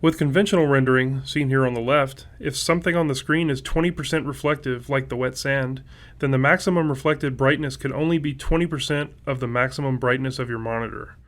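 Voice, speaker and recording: A man's voice: medium pitch, steady tone, a little fast. Man in middle register, technical commentary. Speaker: game developer.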